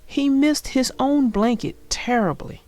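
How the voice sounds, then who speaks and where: A woman narrating a children's storybook aloud with deliberate, expressive phrasing for young listeners. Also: high voice, dry recording.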